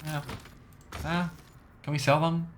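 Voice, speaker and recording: A man's voice: a little high, level tone, slow, a little hiss or hum. A man giving casual, chatty game commentary as a let's play streamer, sometimes reading game text aloud.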